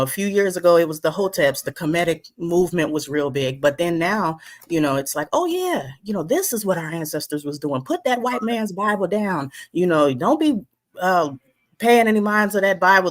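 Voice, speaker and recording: A woman speaking as a guest in a passionate way, now and then mocking the voices of others. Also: lowish voice. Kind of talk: passionate talk.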